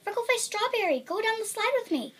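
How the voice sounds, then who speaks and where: A woman voicing excitable, blunt schoolchildren calling out in a children's storybook. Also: extremely high pitch, fast talker, pitched above the performer's own voice, full character voice. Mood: eager, friendly. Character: nosy, teasing schoolchildren calling out to a girl.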